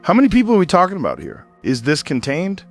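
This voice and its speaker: A man's voice: sits high, huge pitch swings, quick pace, vocal fry, soft background music, full character voice. A man playing an alarmed, folksy radio host with an urgent, colloquial way of talking in an audio drama.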